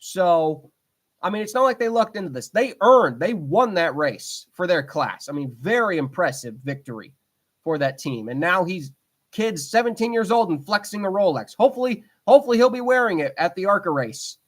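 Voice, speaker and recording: A man in his twenties hosts a casual podcast by himself. His voice sits a little on the high side. He rambles with plenty of ums and restarts, and he talks with a fan's eager enthusiasm. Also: sing-song, moderate pace. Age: 20s. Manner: rambling, frequent fillers and restarts; enthusiastic fan talk. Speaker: podcast host. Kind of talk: casual solo podcast chat.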